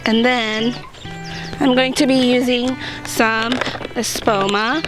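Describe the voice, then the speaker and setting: A woman with a slightly raised, hoarse voice talks a gardening vlog through step by step, upbeat and chatty. She is recorded outdoors, with clear music playing under her voice. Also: on the high side, fairly even intonation, slow pace. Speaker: gardening channel host. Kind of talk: casual how-to chat to camera. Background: background music.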